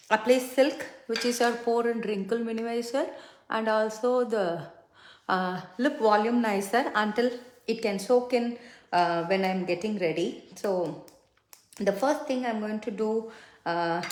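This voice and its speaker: A middle-aged woman whose first language is Tamil, chatting casually with many fillers as she does her makeup on a livestream. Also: medium pitch. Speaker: makeup consultant and livestream host. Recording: echoey room.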